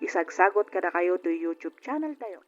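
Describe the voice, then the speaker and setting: A woman's voice: on the low side, animated, moderate pace. Woman announcer over music, dull recording. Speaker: radio drama announcer and host. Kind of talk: programme announcing with listener greetings.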